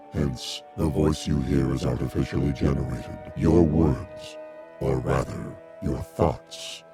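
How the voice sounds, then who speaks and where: An actor playing a courteous giant alien emissary in a radio drama, speaking formally with measured, polite detachment. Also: male voice, very deep voice.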